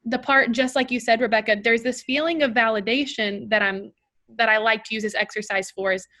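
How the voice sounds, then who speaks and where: Woman, drama therapist, encouraging, slightly high pitch. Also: steady tone, a little fast, sharp tone. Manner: encouraging, asks follow-up questions. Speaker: drama therapist leading a master class. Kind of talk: leading a group discussion.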